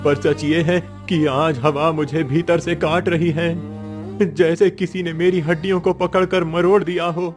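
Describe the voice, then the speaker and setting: A man's voice: on the high side, level tone, a little slow, over music, lightly acted. A man playing a poor, protective father in a cartoon story, earnest, solemn and resolute.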